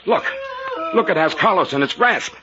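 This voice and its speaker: A man's voice: sits high, animated, moderate pace, background noise. An actor playing a learned, anxious father and explorer, formal and dramatic in a 1940s radio play. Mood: alarmed. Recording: dull 1940s radio recording.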